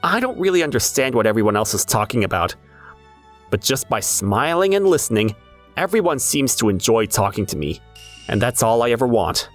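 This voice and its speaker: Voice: mid-range voice, sing-song, brisk, faint music behind. A performer playing an airheaded, easygoing teenage schoolboy, narrating in a self-deprecating anime dub style. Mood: mild, resigned.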